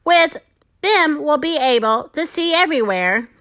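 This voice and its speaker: A woman's voice: sits high, expressive, measured pace, fairly bright tone. A woman voicing an eager, bossy male storybook cat who gives commands in rhyme. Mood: decisive. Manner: exclamatory, commanding, rhyming delivery.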